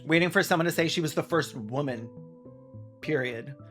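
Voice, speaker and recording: Sarcastic higher-voiced man. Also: normal speed, music in the background. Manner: sarcastic, deadpan. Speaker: video essay host. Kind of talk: scripted commentary.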